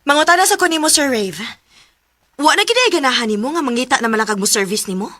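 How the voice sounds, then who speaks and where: A proud young Cebuano daughter in a radio drama. Her voice is high and bright, recorded dry and close. She is blunt, stubborn and fiercely protective. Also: expressive, fast talker, no room sound, full character voice. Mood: curt, annoyed. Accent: Filipino, Cebuano. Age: young adult.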